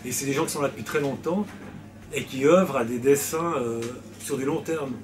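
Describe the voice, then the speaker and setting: A man's voice: medium pitch, moderate pace, slight background noise. A man from Switzerland, a novelist answering interview questions in a hesitant way, with many fillers and restarts.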